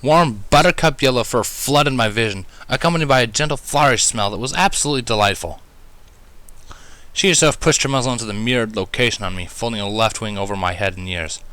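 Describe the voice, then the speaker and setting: A man reading a story aloud as narrator, with expressive delivery. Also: medium pitch, fairly quick, smooth, no room sound. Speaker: fan fiction reader.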